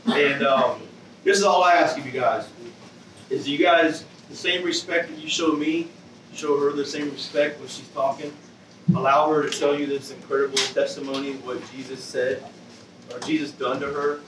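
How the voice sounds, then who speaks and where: A middle-aged man, a loud in-your-face youth pastor, introducing a guest speaker to the kids. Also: mid-range voice, steady tone, normal speed, noisy background. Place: dim church youth room with an audience. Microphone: far camera. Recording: roomy hall sound from a distance.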